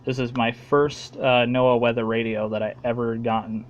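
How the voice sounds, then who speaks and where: A man with an American accent giving a casual, halting, plain-spoken show-and-tell as a hobbyist making a home video. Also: medium pitch, little pitch movement, highs cut off.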